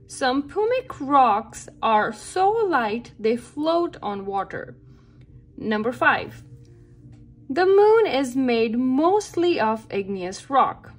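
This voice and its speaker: Slow, lively woman reading to children. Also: sits high. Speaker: read-aloud narrator for children. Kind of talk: children's picture book read-aloud. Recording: background music under the voice. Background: music.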